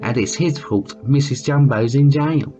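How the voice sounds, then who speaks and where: A man's voice: mid-range voice, unhurried, mellow, close to an everyday voice. A man voicing fussy, gossipy female circus elephants in a children's storybook. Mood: scornful. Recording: muffled lo-fi sound with music behind.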